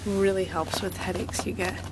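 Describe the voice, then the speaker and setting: A young woman, a university student, chatting casually in a vlog with a slightly hoarse voice, upbeat and rambling, filled with ums. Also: on the low side, steady tone, normal speed, very noisy. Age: young adult. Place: outdoors on a campus, then an indoor study corner.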